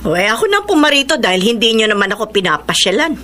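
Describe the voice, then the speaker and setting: A woman's voice: fairly high pitch, big pitch swings, fast, sharp tone, a little hiss or hum. An actress playing a fussy but caring middle-aged mother in a Filipino radio drama, chiding and insistent.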